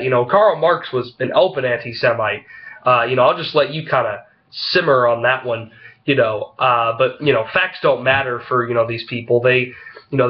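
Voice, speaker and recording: A man with a head cold and a slightly froggy voice, vlogging casually to camera in a halting, rambling way full of fillers. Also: medium pitch, highs cut off. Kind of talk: casual opinion vlog talking to camera.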